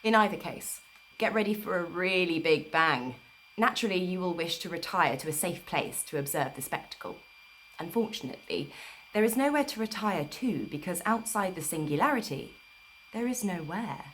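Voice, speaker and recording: A woman reading a book aloud in a measured, deliberate storyteller's delivery on an amateur livestream recording. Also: fairly low pitch, lively intonation, a bit bright, a little hiss or hum, poor audio. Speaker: storyteller reading an audiobook live. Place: informal livestream, not a studio.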